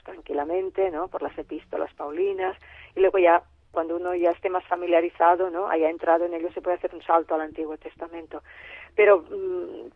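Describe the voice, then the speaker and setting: A woman's voice: on the low side, no echo. A woman guest who works as a Bible translator, speaking by phone in a radio interview and explaining her points in a thoughtful way that often repeats and trails off. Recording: tinny phone line.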